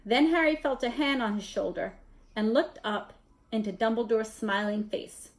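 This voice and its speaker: A woman reading a children's novel aloud as narrator, in an expressive storytelling style. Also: on the high side, moderate pace. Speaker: story reader.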